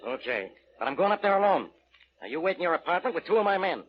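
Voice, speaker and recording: An actor playing a sarcastic, stubborn, blustery police inspector in a 1940s radio detective drama. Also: male voice, sits high, very animated, fast. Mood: skeptical. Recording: dull old radio recording with hiss.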